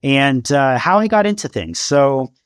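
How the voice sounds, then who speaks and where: A man in his thirties from Canada, a podcast guest, talking in a thoughtful, halting way with many fillers over a video call with headphones at home. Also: mid-range voice, animated, clean tone, dry recording, clean studio sound. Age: 30s. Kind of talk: casual interview talk. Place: home room with shelves of CDs.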